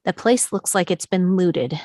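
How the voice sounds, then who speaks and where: A woman's voice: on the low side, lively intonation, a little slow, darkish tone, dry recording, studio quality. A woman reading stage directions as the narrator of a screenplay table read.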